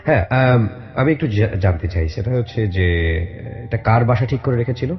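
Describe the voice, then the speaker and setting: A man's voice: medium pitch, expressive, normal speed. A man with a Bangladeshi accent hosting an interview, asking short conversational questions and giving brief prompts. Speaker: radio show host, interviewer. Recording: roomy, slightly dull audio with music. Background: background music.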